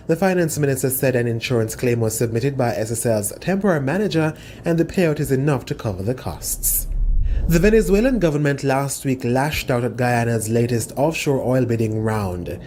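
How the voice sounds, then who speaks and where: A man reading scripted business news in a measured, formal way. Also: mid-range voice, a little mellow, slight background noise. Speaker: news reader.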